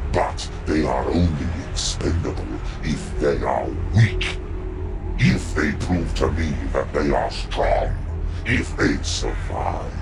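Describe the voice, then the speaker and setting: A domineering video game war god with an extremely low, gravelly voice. He speaks very slowly and grandly, every phrase weighed like a proclamation of strength. Music plays clearly behind him. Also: exaggerated voice, poor audio. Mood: cold, stern. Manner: grandiose, deliberate, declamatory. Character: ruthless male warlord war god, proud and domineering. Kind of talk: dramatic video game character monologue.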